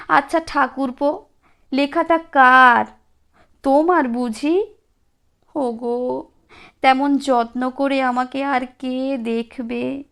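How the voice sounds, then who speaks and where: A woman voicing a newly widowed woman in a storybook, given to theatrical, drawn-out lamenting and nosy practical asides. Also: high voice, creaky, a bit bright, exaggerated voice. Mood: curious. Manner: drawn-out keening broken by practical questions. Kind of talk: storybook character voice.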